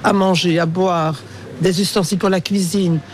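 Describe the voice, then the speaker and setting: A woman's voice: fairly low pitch, steady tone, normal speed. An older Belgian woman, a native Dutch speaker with a Flemish accent, answering casually and searching for words. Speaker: interviewee, local resident. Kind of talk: casual street interview.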